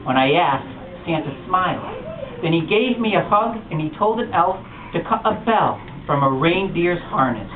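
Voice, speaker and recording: Animated man reading to kids, far off. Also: fairly high pitch, normal speed, music in the background. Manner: animated, expressive storytelling. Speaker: storytime reader. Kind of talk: reading a picture book aloud to children. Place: bookstore storytime. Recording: distant echoey lo-fi room recording with noise.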